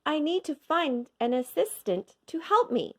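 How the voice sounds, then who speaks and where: A woman's voice: high-pitched, very animated, a little slow, voice pushed up. A woman voicing a harried male pancake cook in a storybook, urgent and exclaiming. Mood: flustered. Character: harried pancake cook, a flustered grown man. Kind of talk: storybook read-aloud character voice.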